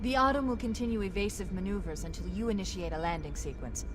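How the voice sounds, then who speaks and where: An actress voicing a witty, confident military artificial intelligence with a wry, teasing manner in a video game. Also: female voice, medium pitch, quick pace, over music, lightly acted. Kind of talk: video game cutscene acting.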